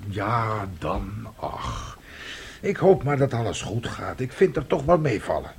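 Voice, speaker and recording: An actor playing a nervous, self-pitying older composer husband in a radio play, plaintive, theatrical and husky. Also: male voice, fairly low pitch, sing-song, a little slow, a little hiss or hum, full character voice. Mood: worried. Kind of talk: Dutch radio play acting.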